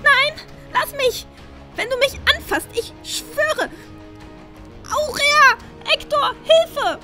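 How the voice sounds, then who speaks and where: A desperate, defiant teenage girl, played by a woman in a fantasy audio drama. Her voice is extremely high and piercing, crying out in stretched words over faint music. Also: slow, sharp tone, heavily acted. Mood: terrified, defiant. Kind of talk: fan-made fantasy audio drama acting.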